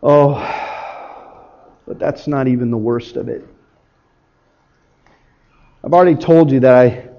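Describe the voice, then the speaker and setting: A man performing a guilt-ridden disciple confessing his betrayal in a halting, emotional dramatic biblical monologue. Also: medium pitch, dull audio, voice pushed down, close to an everyday voice. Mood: remorseful, hushed. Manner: halting, emotional, swinging from hushed to shouting.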